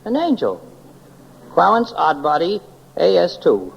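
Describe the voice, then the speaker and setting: A eccentric angel, centuries old, speaks in a high, creaky voice with lively swoops. He is fussy and formal, fond of ornate wording, in the manner of a vintage 1940s radio fantasy. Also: a little slow, full character voice. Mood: earnest, chatty. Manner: pompous, wordy, formal. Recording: muffled, noisy old 1940s radio recording.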